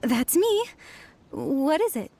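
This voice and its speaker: A woman's voice: high-pitched, wildly swooping pitch, slow, dark tone, a little hiss or hum, exaggerated voice. An actress playing a curt, defensive, jaded female researcher in a video game. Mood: irritated, defensive. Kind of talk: scripted video game dialogue.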